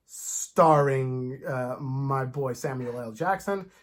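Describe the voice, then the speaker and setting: A man talks casually as co-host of a fan discussion. His voice sits at an ordinary pitch. He is animated and likes to voice imagined lines in the middle of his thoughts. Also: takes it slowly.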